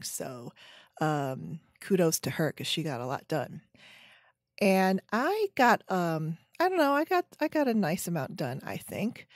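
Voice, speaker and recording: A woman in her forties hosting a solo podcast chat at home, her voice scratchy from a sinus infection, with lots of ums and trailing pauses. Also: on the low side, dry recording, studio quality. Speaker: podcast host. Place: home room with bookshelves. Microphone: headset.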